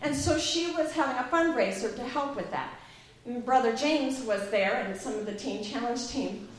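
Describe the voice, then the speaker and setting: A woman's voice: fairly high pitch, normal speed. A woman telling a rambling story at a church gathering, then introducing a guest speaker. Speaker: event organizer introducing a guest. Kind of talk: casual church talk. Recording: very echoey room.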